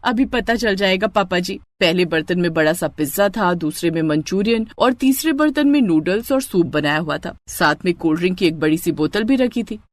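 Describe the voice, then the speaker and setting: A woman's voice: fairly low pitch, a little fast, hardly any pauses, dry recording. A woman narrating a scripted cartoon story as a storyteller.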